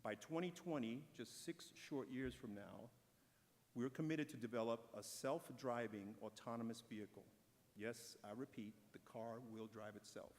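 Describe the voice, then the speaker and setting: A man's voice: mid-range voice, a little mellow, slight background noise. Encouraging man speaking in a reverberant hall. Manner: measured, encouraging delivery. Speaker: corporate speaker at an awards ceremony. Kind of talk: prepared speech. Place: large event hall.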